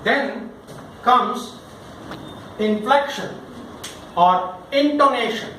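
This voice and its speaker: A man with a high voice leads a public-speaking workshop. His delivery is emphatic and theatrical, pitched to a group and often questioning. He is heard from a distance in a very reverberant room with clear background noise. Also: drawn-out words, bright, forceful tone. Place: indoor session room with audience.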